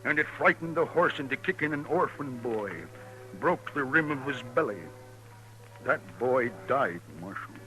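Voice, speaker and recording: A man's voice: mid-range voice, lively intonation, moderate pace, bright, forceful tone, soft background music, rough recording. A man playing a lonely, fiery old medicine-show professor with florid, formal oratory in a radio western. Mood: courteous, pleading.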